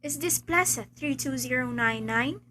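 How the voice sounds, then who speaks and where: A young woman playing a formal, businesslike telegraph clerk in a radio play, reading out in a measured way. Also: sits high, heavy background noise, natural voice. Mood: formal, detached. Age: teenager.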